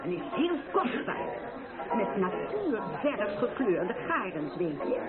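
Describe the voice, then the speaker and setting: Fussy middle-aged mother, flustered, gushing, very lively pitch, thin band-limited sound, radio drama. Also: slow pace. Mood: defensive, awkward. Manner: flustered, hesitant, gushing, repeats herself. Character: fussy, chattering middle-aged mother at her daughter's wedding. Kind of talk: radio play acting. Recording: tinny band-limited radio play, loud music, party crowd.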